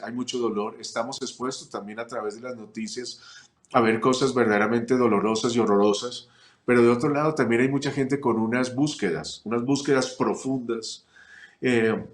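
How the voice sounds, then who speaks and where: A man from Chile, a family constellations teacher, answering interview questions reflectively, with frequent pauses and restarts. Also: mid-range voice, echoey room. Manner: reflective, many fillers and restarts. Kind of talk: relaxed interview conversation.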